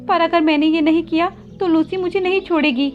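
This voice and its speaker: A woman's voice: very high voice, steady tone, fairly quick, dull audio, soft background music, pitched above the performer's own voice. A woman voicing a meek, polite young female village sparrow in a children's cartoon story. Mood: timid. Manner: polite, hesitant, pleading.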